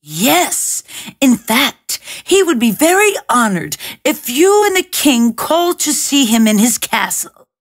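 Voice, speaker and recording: A woman's voice: sits high, sing-song, unhurried, voice pushed up, full character voice. A woman voicing a crafty, confident, persuasive talking cat in a storybook tale. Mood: assured. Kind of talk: storybook character voice.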